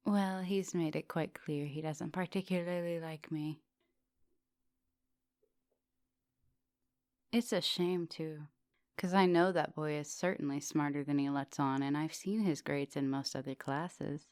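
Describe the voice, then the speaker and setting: Low, creaky, flirtatious vampire professor played by a woman. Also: no echo. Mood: mildly exasperated. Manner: teasing, formal wording, soft dominant, often dropping into whispers. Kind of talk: intimate ASMR roleplay.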